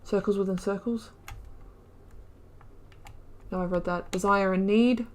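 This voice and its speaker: A woman's voice: medium pitch, fairly even intonation, a little slow, slight background noise. A woman casually commenting on a game playthrough, thinking aloud, trailing off and correcting herself as she reads through in-game notes. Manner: musing, thinking aloud with fillers and self-corrections. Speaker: gaming video creator.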